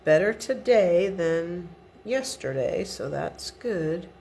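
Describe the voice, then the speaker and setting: A middle-aged woman talks through a card-making project at her desk in an unhurried, low voice with a gravelly edge. She sounds a little sniffly from a cold, chatting casually as she works. Faint room hum sits behind her. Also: expressive, dark tone. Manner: chatty step-by-step instructions. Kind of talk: relaxed craft tutorial. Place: home craft desk indoors. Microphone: overhead camera above the desk.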